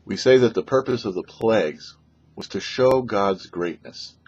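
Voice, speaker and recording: Deep, husky older man teaching slowly. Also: a little mellow, muffled recording. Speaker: rabbi and show host. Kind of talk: short prepared religious teaching to camera. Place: small indoor setup before a dark backdrop.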